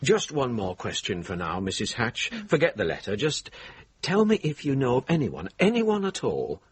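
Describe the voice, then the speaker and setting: Very husky, courteous police inspector. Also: male voice, medium pitch, huge pitch swings, normal speed, no room sound, full character voice. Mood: polite, probing. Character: courteous, measured police inspector, quietly probing. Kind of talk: radio drama acting.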